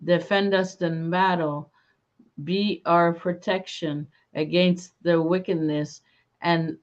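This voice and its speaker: Slow, low-voiced woman, calm host. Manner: slow, calm, soothing delivery. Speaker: paranormal investigator host. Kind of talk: live-stream ghost hunt chat. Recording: dull lo-fi stream audio.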